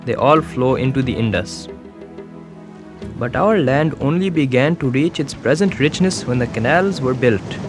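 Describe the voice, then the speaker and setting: A man voicing a young village schoolboy, telling his story in a calm, measured documentary voice-over. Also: mid-range voice, lightly acted. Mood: earnest. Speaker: documentary narrator. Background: music.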